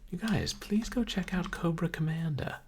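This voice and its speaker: A man live-streaming a video game, talking calmly and softly, with a rambling, casual flow full of fillers. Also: a little high, moderate pace, slightly rough. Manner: soft-spoken, calm, rambling with fillers.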